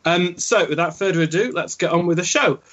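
A man's voice: sits high, fairly quick, a bit bright. An English man from Yorkshire hosts a sports chat show with a slightly raised voice and a jokey, self-deprecating way of talking. He fills his sentences with ums and asides. The audio is muffled and lo-fi. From Yorkshire, England. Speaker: podcast host. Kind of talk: casual podcast chat. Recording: dull lo-fi sound.

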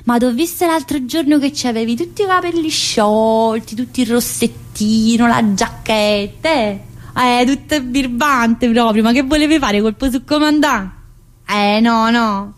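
A restless, teasing middle-aged woman inmate, talking in an earthy stream of asides and fillers as an actress plays her on stage. The voice sits a little high for a woman. It is a one-woman theatre monologue. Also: measured pace, lightly acted.

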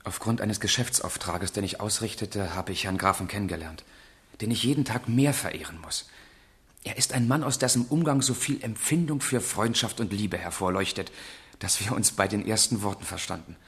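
Low-voiced, courteous young gentleman. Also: moderate pace. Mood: polite, formal. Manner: courteous, formal, fervent. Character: polite, earnest, idealistic young gentleman seeking a post. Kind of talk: period radio drama acting.

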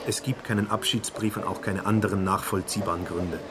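An actor playing a polite but insistent public prosecutor with a formal, factual manner in a radio drama. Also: male voice, low-pitched, steady tone, fairly quick, noisy background, close to an everyday voice.

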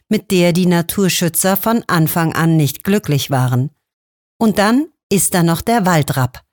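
A woman reading scripted narration for language learners in a clear, measured way. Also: fairly low pitch, a little mellow, no room sound, studio quality.

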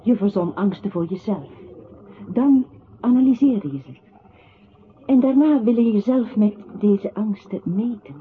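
A woman acting a composed, coolly analytical narrating voice in a literary radio play, speaking deliberately. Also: medium pitch, expressive, a little hiss or hum, lightly acted. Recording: tinny band-limited radio drama, music behind.

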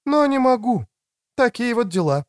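An elegant mature woman as a male narrator puts her on speaks in a lifted, high register with a tender, frank manner. She teases gently and cares openly, with soft expressiveness. It is audiobook character dialogue, recorded very dry. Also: sing-song, moderate pace, dry recording.

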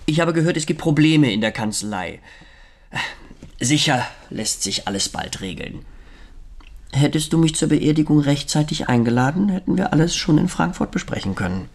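Radio crime play: oily, patronising middle-aged attorney, stilted and slippery, gravelly edge, lively swooping delivery. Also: male voice, fairly high pitch, moderate pace, full character voice. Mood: evasive, patronising. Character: pompous, unctuous, evasive male provincial lawyer, middle-aged. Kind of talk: German radio crime drama acting.

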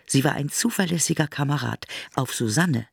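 A husky-voiced man narrates a literary radio play in measured, deliberate phrases. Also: a little high, expressive, no room sound, studio quality, full character voice.

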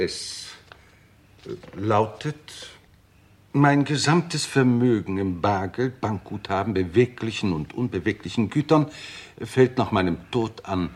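An actor playing a formal, circumspect lawyer in a German radio crime drama, speaking precisely and deliberately. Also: male voice, lowish voice, breathy edge, exaggerated voice. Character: formal, circumspect male lawyer reporting a will.

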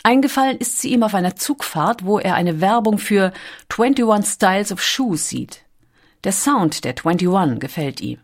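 A woman's voice: medium pitch, lively intonation, unhurried. A woman reading a scripted documentary audiobook as its narrator. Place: recording studio.

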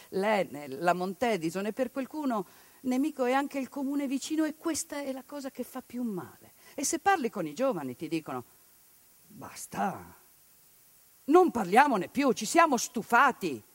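A woman's voice: a little high, very animated, fairly quick, dry recording. Impassioned woman in a theatre monologue. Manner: impassioned, dramatic swings in loudness. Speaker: stage actress. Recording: radio broadcast of a stage piece.

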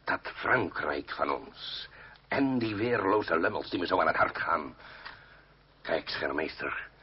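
A very husky, deep-voiced revolutionary orator, big-hearted but hot-tempered. He talks in blunt, blustering bursts full of oaths. The old radio play recording sounds dull. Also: animated, slow, full character voice. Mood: grave, earnest. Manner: bellowing, cursing, blunt. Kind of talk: Dutch radio play acting.

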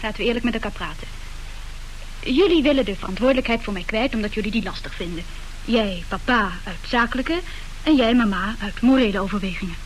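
A woman's voice: mid-range voice, fast, lightly acted, low-quality recording. A woman playing a rich merchant's young daughter in a radio play, decisive, articulate and coolly matter-of-fact. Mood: resolute. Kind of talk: Dutch radio play acting. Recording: old radio recording, faint hiss.

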